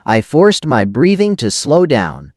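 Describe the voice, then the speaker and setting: A man's voice: mid-range voice, huge pitch swings, a little slow, a little mellow, no echo, studio quality. A man reading a first-person scripted thriller as an audio drama narrator, in tense, dramatic, clipped phrases.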